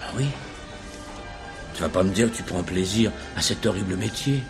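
Music plays behind a smitten, respectable bachelor. His voice is slightly low, and he speaks earnestly and timidly, stammering a little, as in a radio play. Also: moderate pace, gravelly. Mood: amorous, eager. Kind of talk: French radio play acting.

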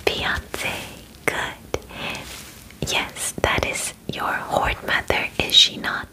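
A woman in her twenties playing a calm, clinical alien examiner in an ASMR roleplay, whispering formally and reassuringly close to the mic. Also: very slow, low-quality recording. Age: 20s. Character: calm, methodical female alien examiner inspecting a human. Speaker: ASMR performer. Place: bedroom studio, indoors. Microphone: close ASMR microphone.

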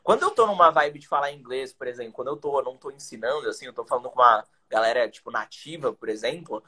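A young Brazilian man teaching English over a webcam call in a small indoor room. His voice is husky and grainy, sitting at a middle pitch, and he talks with lively slang, checking in with his listener again and again. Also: fast. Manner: energetic, slangy, explaining with rhetorical questions. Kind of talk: casual live-stream chat, teaching tips. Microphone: webcam on a video call.

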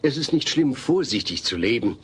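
A man's voice: mid-range voice, big pitch swings, normal speed, husky. A man playing a patient, weary middle-aged father in a comedy audio play, explaining things in a dry, hesitant way.

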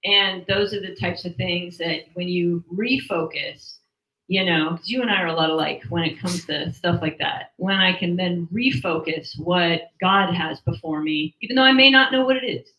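A woman's voice: fairly low pitch, moderate pace. A woman explains casually in a group discussion, speaking into a handheld microphone in a small meeting room. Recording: echoey, dull room sound.

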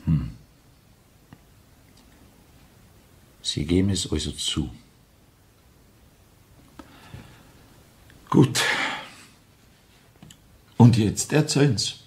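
Sceptical Viennese inspector, lively low voice. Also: male voice, full character voice. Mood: brisk, expectant. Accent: Viennese Austrian. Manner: prompting, questioning, measured authority. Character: probing police inspector, composed, sceptical interrogator. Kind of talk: crime radio drama acting.

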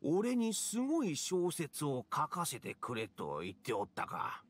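A man's voice: a little high, huge pitch swings, a little slow, a little hiss or hum. A man playing an ancient, mischievous male fox spirit in a video game, with old-fashioned, theatrical elderly speech. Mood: proud, reminiscing. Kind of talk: Japanese video game acting.

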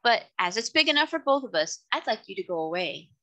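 A big, cross storybook sea spider played by a woman reader. It is grumpy and territorial, snapping its words in a sharp, bright voice. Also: on the high side, big pitch swings, a little fast, no echo.

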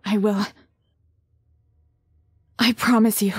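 A woman's voice: medium pitch, flat delivery, slow pace, slightly rough, no room sound, clean studio sound. A woman voicing an earnest, desperate young scientist in a dramatic anime parody. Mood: grieving. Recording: prominent music behind.

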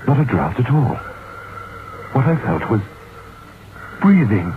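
An actor playing a troubled, curious writer who recounts his dread in a deliberate, suspenseful old-time radio horror monologue. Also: mid-range voice, big pitch swings. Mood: mounting fear. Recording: muffled, noisy vintage radio recording.

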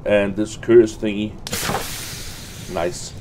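Slow-talking man muttering game commentary. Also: fairly low pitch, level tone, noisy background. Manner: muttering to himself, reading item names aloud. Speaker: gamer recording a let's play.

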